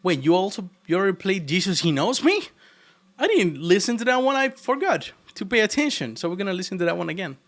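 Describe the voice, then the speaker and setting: A man's voice: high-pitched, sing-song, fast, a bit bright. A man from Argentina with an Argentine Spanish accent, chatting casually and teasingly with his live chat while he codes. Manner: playful and teasing, laughs often. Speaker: programming livestreamer.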